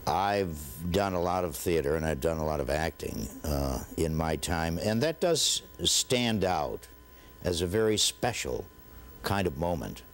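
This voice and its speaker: A man's voice: sits low, very animated, unhurried, a little hiss or hum. A middle-aged man with an American accent, reflecting on memories in an interview. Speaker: interviewee, actor.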